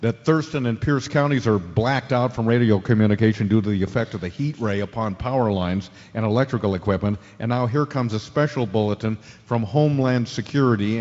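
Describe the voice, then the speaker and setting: Man acting a male news anchor, steady under crisis, medium pitch, reverberant, radio drama bulletin delivery. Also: close to an everyday voice. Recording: dull lo-fi audio with long reverb.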